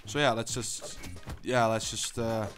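A young man giving casual gameplay commentary, stammering and swearing, swinging between low mumbles and excited yelling. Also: unhurried, soft background music. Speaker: gaming channel host.

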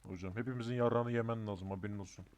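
A man's voice: fairly low pitch, fairly even intonation, very fast, a little mellow. A man chatting casually in an online roleplay game, making crude mock threats with plenty of swearing.

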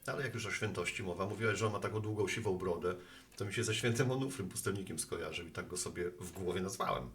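A man's voice: deep voice, fast talker, fairly bright tone, slight background noise. An actor playing a talkative, friendly postman with earnest opinions, chatting at a bar in an audio drama. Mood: playful. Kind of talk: Polish audio drama acting.

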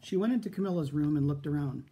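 A middle-aged man reading a picture book aloud to children in an expressive storytime style, recorded in a room at home. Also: on the high side, fast talker. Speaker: school storytime reader. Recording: home recording with background noise.

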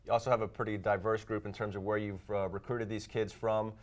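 A man co-hosts a college sports show from a studio, his voice smooth and resonant. He moves through his questions quickly in a fairly even, unvaried tone. The lapel mic keeps it clean. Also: on the low side. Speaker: co-host. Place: TV studio set.

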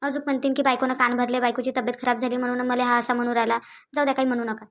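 A woman voicing a dutiful, gentle young wife and mother in a family cartoon, soft and coaxing, with a Varhadi Marathi accent. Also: on the high side, flat delivery, very fast. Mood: dutiful, anxious. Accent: Varhadi Marathi of Vidarbha.

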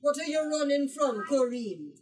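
A woman's voice: high voice, lively intonation, normal speed, darkish tone, faint music behind, voice pushed up. A woman voicing a firm, caring island father in a storybook, with emphatic parental delivery. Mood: concerned. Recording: distant from the microphone.

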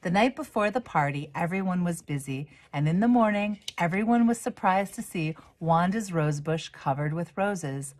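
Low-voiced woman reading a picture book. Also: normal speed, few pauses. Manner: animated, acts out the dialogue. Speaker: storyteller, reverend. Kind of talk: expressive read-aloud of a children's picture book.

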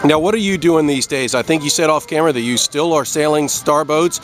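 A man's voice: fairly high pitch, brisk, over music. A man working as an interviewer, asking conversational questions outdoors. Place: on a boat in a marina, outdoors.

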